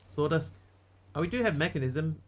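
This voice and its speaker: A man's voice: a little high, lively intonation, moderate pace, slightly rough. Dry-humored New Zealand man streaming. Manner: dry, self-mocking, chatty asides to viewers. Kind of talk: casual live-stream commentary while gaming.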